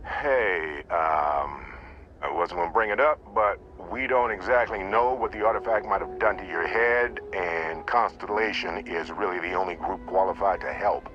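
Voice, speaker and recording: A man's voice: low-pitched, moderate pace, lo-fi sound, a little hiss or hum, close to an everyday voice. An actor playing a genial, talkative male space explorer in a video game, with a jaunty, wry, easygoing manner. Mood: urgent, persuasive. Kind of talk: video game cutscene acting.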